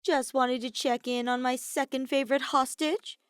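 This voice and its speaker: A woman's voice: sits high, fairly even intonation, moderate pace, smooth, no room sound, voice pushed up, close to an everyday voice. A woman voicing a mocking, ominously cheerful teenage boy villain in an audiobook, with sneering, sing-song delivery. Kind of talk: character voice in fan fiction audiobook.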